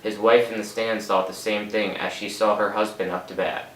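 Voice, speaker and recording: Low-voiced young man, distant echoey mic. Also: level tone, moderate pace. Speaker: sports announcer in a student project. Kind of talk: scripted amateur radio sports report. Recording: echoey room, distant mic, faint noise.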